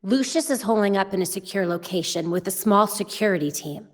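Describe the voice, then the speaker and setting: A woman playing a young female superhero vigilante in a video game, determined and sharp-witted, with a wry, brisk delivery. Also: medium pitch, no room sound, clean studio sound, natural voice. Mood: urgent, businesslike.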